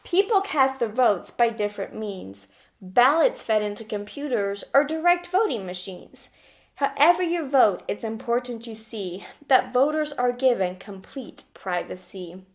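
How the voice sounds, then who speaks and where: A woman's voice: on the high side, lively intonation, measured pace. A woman elementary school teacher reading aloud to young children and explaining things simply, often checking in as she gives instructions. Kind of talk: children's read-aloud lesson with questions.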